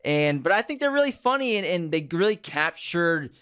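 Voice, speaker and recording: A man co-hosting a podcast, chatting casually and enthusiastically, his voice jumping up when he gets excited. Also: high-pitched, moderate pace, smooth, no echo, clean studio sound. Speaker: podcast co-host. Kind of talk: casual chat.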